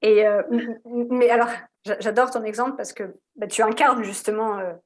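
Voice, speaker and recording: A woman's voice: fairly high pitch, steady tone, normal speed. A woman hosting an interview, explaining her ideas in a thoughtful way with some hesitations. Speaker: host. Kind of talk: casual interview.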